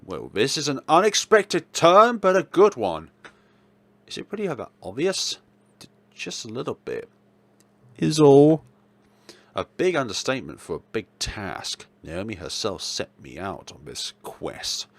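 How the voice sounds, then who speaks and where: A young man reading a visual novel aloud as a let's player, in a theatrical, expressive way. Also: medium pitch, a little slow, dry recording. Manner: theatrical, expressive, with playful asides. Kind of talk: dramatic read-aloud narration of a visual novel. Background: game music.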